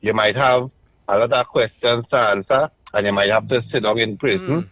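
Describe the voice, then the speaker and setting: A man's voice: mid-range voice, fairly bright tone, dry recording. A man with a Trinidadian accent calling in to a radio talk show, arguing his point step by step and often checking in with "right?". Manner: measured, argues point by point with frequent "right?". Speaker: radio caller. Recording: muffled dry call-in line.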